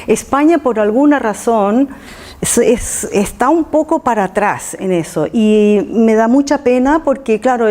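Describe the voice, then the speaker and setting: A woman who is not a native speaker, giving an informal public talk and often halting to search for words. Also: on the high side, a little mellow. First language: not Spanish.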